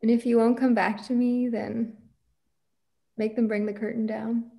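A woman's voice: fairly high pitch, little pitch movement, a little slow, gravelly, dark tone. A young woman playing an earnest, defiant girl who dreams of being a cowboy, in a script table read. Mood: wistful, theatrical. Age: young adult. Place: room at home, on video call.

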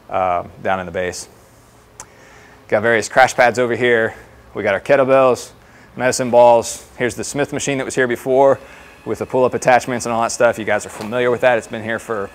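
A man in his thirties talking casually and explaining things as a trainer showing colleagues around a facility. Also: on the low side. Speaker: fire department fitness trainer giving a facility tour. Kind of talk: informal walk-through presentation to colleagues. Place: indoor gym with hard floors. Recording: clear background noise of a gym.

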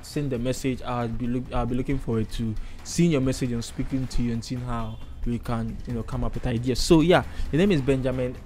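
A man's voice: medium pitch, fairly even intonation, brisk, hardly any pauses, darkish tone. A man from Ghana with a Ghanaian accent hosting a casual tutorial, chatty and rambling as he thinks aloud while working. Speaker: tutorial host. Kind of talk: casual screen-recorded software tutorial. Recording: music playing behind the voice. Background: music.

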